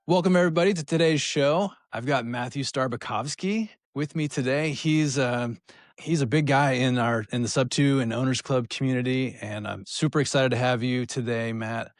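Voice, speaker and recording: A man's voice: mid-range voice, moderate pace, dry recording, studio quality. A man hosting a podcast, welcoming a guest and reacting with short, upbeat remarks. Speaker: podcast host. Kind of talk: podcast interview host.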